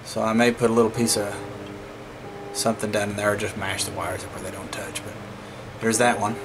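A man's voice: fairly low pitch, little pitch movement, brisk. A man giving informal, folksy hands-on commentary, thinking aloud as he hosts a repair vlog indoors at a work table. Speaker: host of a DIY electronics repair vlog. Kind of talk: casual hands-on commentary while working. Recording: home recording with clear background noise.

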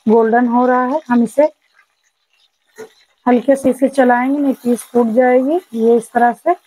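Soft-toned woman teaching a home recipe. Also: fairly high pitch, slightly rough, low-quality recording. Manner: patient, repeats "ye dekhiye friends" often. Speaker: cooking channel host. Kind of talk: step-by-step cooking instruction. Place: home kitchen.

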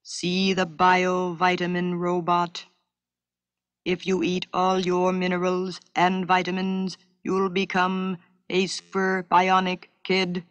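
Woman putting on a slow, hollow-voiced robot boy. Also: on the low side, completely flat delivery, a bit bright, no room sound. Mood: stilted, instructive. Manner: slow, stilted, mock-menacing robot talk.

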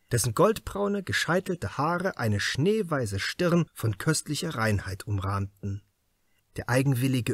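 A man's voice: medium pitch, dry recording, clean studio sound. A man reading a crime novel as a scripted literary audiobook narration.

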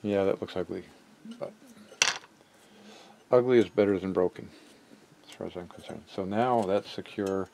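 A man narrating a hands-on repair casually, haltingly thinking aloud as he works. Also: low voice, creaky, warm tone, slight background noise. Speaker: repair hobbyist and video maker. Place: indoor cluttered electronics workbench.

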